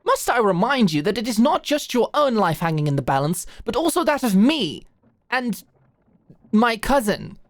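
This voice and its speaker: A woman's voice: fairly high pitch, big pitch swings, moderate pace, dry recording. A woman playing a prim young nobleman in an audio drama, scolding formally and emphatically with an exasperated air. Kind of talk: dramatic audio roleplay acting.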